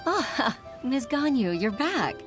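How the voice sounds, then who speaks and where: A gentle townswoman in a fantasy game, speaking slowly at a medium pitch with expressive rises and falls. She sounds courteous and grateful, over clear background music. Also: exaggerated voice. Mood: relieved, warm. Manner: polite, unhurried. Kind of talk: video game character acting.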